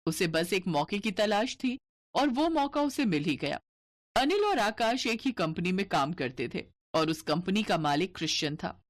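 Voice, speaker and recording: A woman tells a scripted cartoon tale as narrator. Her voice sits at a middling pitch and moves up and down in a lively, expressive way. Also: normal speed. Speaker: storyteller, narrator.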